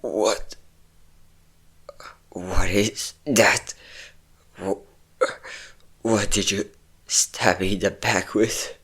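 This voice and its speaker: A man's voice: lowish voice, fairly even intonation, drawn-out words, long pauses, fairly bright tone, slightly rough, exaggerated voice. A man playing a possessive, obsessive centuries-old vampire in a dramatic romantic audio roleplay, intense and theatrical in delivery. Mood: shocked, hurt. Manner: intense, theatrical, swinging between tender and threatening. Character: possessive obsessive five-hundred-year-old male vampire lover, menacing, volatile.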